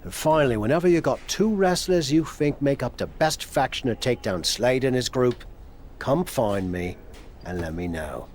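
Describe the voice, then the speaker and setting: A man's voice: mid-range voice, expressive, moderate pace, slight background noise, lightly acted. A middle-aged man playing a proud, crafty, teasing veteran wrestler who coaches frankly in a video game cutscene. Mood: wry, teasing. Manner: frank, coaxing, wry.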